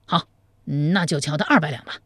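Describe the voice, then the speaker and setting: A man storyteller voicing an anxious, gullible young male suitor who pleads quickly and fretfully. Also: on the high side, fairly bright tone, no echo. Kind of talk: storytelling, acted dialogue.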